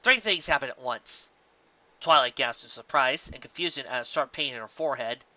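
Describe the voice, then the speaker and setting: A narrator reads a fan fiction story aloud with a forceful edge and lively intonation. The recording is dry and a bit dull. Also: medium pitch, brisk, no room sound.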